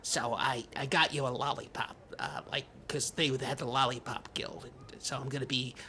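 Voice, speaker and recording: Comedy podcast co-host: male actor as an earnest, timid man-child, mid-pitched and bright, halting and rambling. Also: steady tone, slight background noise, close to an everyday voice. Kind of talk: improvised comedy podcast banter.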